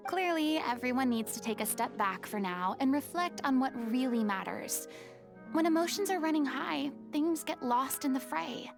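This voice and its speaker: A woman's voice: high voice, animated, moderate pace, gravelly. A woman voicing a poised young woman who firmly scolds and guides a quarreling theater troupe. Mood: stern. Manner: stern, firm, theatrical. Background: game music.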